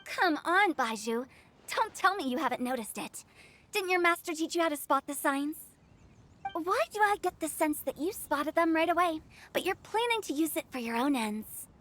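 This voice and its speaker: A woman's voice: high-pitched, expressive, moderate pace, fairly bright tone. A woman playing a sly, playful teenage funeral director in a video game, with theatrical, probing delivery. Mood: suspicious. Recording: music behind the voice.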